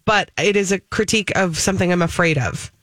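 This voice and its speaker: A woman co-hosting a casual radio entertainment show, talking with expressive emphasis. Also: lowish voice, brisk, dry recording. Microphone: close studio microphone.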